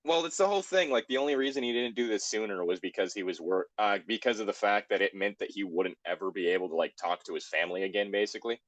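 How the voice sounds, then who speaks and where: A man's voice: lowish voice, fast, few pauses, a bit bright, dry recording. A man hosting an online show in casual, rambling banter, self-deprecating and prone to swearing.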